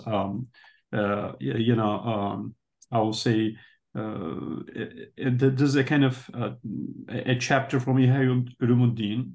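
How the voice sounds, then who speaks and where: A man with a Turkish accent talks in a book club discussion. His pitch stays flat and level, and his soft-spoken delivery pauses often as he searches for the right English words. His native language is Turkish. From Turkey. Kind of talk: casual discussion.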